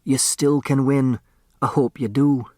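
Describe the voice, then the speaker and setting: A man voicing a friendly, hoarse doorman who rallies the others in an audiobook. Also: mid-range voice, fairly even intonation, measured pace, a little mellow, no room sound, clean studio sound, lightly acted. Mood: encouraging. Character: friendly doorman with a battered face, rallying others.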